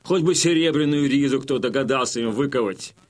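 An actor playing a wily Cossack chieftain who addresses his warriors with measured, folksy, feigned humility in an audio drama. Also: medium pitch, lightly acted. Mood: sly, humble. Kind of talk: theatrical audio drama oratory.

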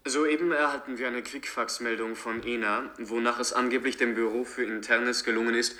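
An actor as a formal male newsreader in a science-fiction radio drama. His voice is bright and forceful at a middle pitch. He delivers urgent scripted news. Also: fairly even intonation, a little fast, natural voice.

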